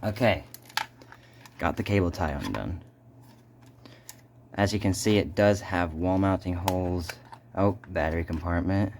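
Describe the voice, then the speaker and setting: Low-voiced man, halting, noisy recording. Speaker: video host doing an unboxing. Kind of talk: casual unscripted unboxing commentary.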